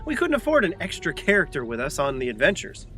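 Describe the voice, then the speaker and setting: Man playing a bright, theatrical, bossy adventurer. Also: a little high, wildly swooping pitch, quick pace, exaggerated voice. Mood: placating, brisk. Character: theatrical, bossy, indignant adventurer, fond of festive fun. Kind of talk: audio comedy drama.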